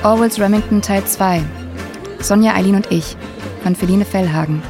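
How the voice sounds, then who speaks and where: A woman's voice: mid-range voice, moderate pace, a loud crowd. A woman reading scripted radio play credits as an announcer, with music behind.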